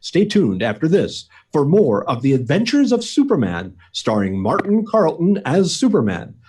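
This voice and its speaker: Vintage radio narrator, male actor: portentous, suspenseful, very animated intonation, a bit off-mic. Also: on the high side, normal speed. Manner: portentous, theatrical. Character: dramatic male radio serial announcer. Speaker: narrator and announcer. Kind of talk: 1940s radio serial narration. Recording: distant mic, live remote performance.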